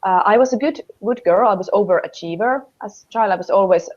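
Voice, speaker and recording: A young woman in her twenties from Finland, a native Finnish speaker with a Finnish accent, answering interview questions over a video call and often pausing to hunt for English words. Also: mid-range voice. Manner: hesitant, searching for English words, many fillers. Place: small room at home, indoors. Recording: dull lo-fi video call audio.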